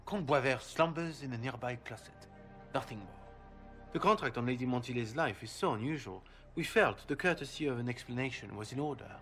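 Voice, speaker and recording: An actor playing a polite, cultured assassin in a game cutscene, speaking in formal, measured phrases. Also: male voice, mid-range voice, a bit bright, soft background music, natural voice. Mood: polite, composed. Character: courteous, cultured male assassin messenger from a guild. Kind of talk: scripted video game cutscene acting.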